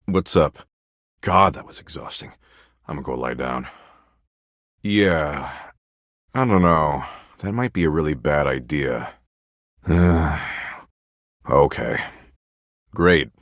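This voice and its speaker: An actor playing a gloomy, sulky, sarcastic nihilist in a video game, grumbling in a morose deadpan. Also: male voice, extremely low pitch, slow pace, pauses often, dry recording, clean studio sound, exaggerated voice. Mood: deadpan, weary. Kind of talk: video game character acting.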